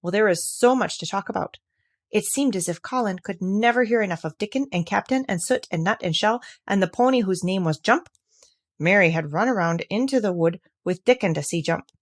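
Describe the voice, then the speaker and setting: A woman's voice: mid-range voice, animated, brisk. A woman reading a classic children's novel aloud as scripted storybook narration. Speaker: audiobook reader.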